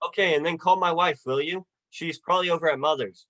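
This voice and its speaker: A man's voice: a little high, fast, fairly bright tone, lo-fi sound, close to an everyday voice. A young man playing an earnest, stammering, hot-headed small-town husband in a folksy 1940s radio drama style. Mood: agitated, apologetic. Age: young adult.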